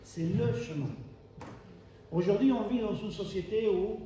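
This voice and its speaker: Slow, high-voiced Argentine man preaching. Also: warm tone, slight background noise. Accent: Argentine Spanish. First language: Spanish. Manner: emphatic, repeats key phrases, asks rhetorical questions. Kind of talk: sermon. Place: church hall. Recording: echoey church hall, mic far off.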